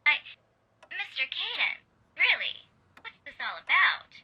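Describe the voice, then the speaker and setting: An actress playing an eager young studio production assistant in a 1950s radio play, heard through a telephone filter. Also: female voice, sits high, sing-song, unhurried. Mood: hesitant. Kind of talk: old-time radio play acting. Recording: thin, noisy telephone line.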